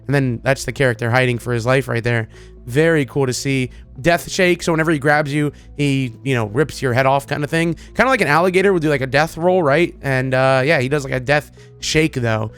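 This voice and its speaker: A man giving casual, excited commentary as a gaming video creator, rambling in an informal, enthusiastic way. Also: mid-range voice, fairly quick, smooth, faint music behind.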